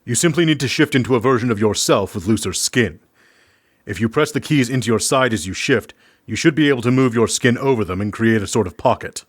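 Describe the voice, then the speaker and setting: A man acting in an audio drama as a discreet medic, speaking in a measured, formal, coy way. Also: medium pitch, no room sound, studio quality, close to an everyday voice. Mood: calm, instructive. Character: dry, discreet male medic quietly aiding prisoners. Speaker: voice actor in a fiction podcast.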